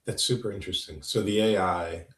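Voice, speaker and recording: A man's voice: sits low, moderate pace, recorded from a distance. A man hosting a podcast, reacting with enthusiasm and often asking "right?" to check in with his guest. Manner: enthusiastic, affirming, "right?" tag questions. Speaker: podcast host. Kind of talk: casual interview chat. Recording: echoey room, voice off-mic.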